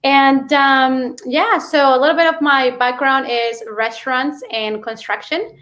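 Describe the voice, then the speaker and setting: Female interview guest, Mexican accent, native Spanish speaker, higher-pitched voice, halting and conversational personal storytelling. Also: steady tone, a bit bright. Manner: halting, peppered with "you know".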